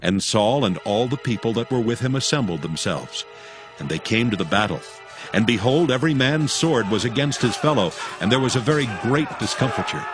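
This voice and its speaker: A man narrating a dramatized Bible in a solemn, measured, scripted style. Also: mid-range voice, animated. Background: music.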